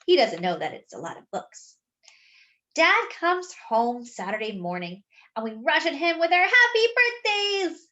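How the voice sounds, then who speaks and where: A woman reading a children's picture book aloud, expressively and with animated delivery for young listeners. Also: fairly high pitch. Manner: animated, pausing to explain words.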